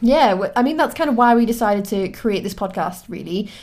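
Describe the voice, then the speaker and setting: A woman's voice: medium pitch, expressive, fairly quick, a little mellow. A woman in her twenties with a Newcastle accent, co-hosting a podcast in an upbeat, chatty way. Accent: Newcastle (Geordie). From Newcastle, England. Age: 20s. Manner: chatty, upbeat, frequent fillers. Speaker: podcast co-host. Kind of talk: casual podcast chat.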